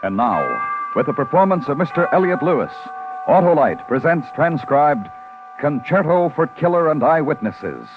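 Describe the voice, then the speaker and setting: A man announcing and pitching for the sponsor on a 1950s radio show, with theatrical, emphatic delivery. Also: big pitch swings, moderate pace, phone-quality sound, over music. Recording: thin, band-limited 1950s radio broadcast.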